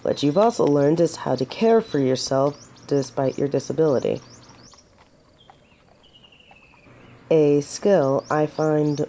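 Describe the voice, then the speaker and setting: Woman playing a slow-spoken, mellow, gallant lady knight. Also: low voice, slight background noise. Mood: playful, teasing. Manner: formal old-fashioned fantasy speech, coy and gently teasing. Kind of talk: romantic roleplay audio drama acting.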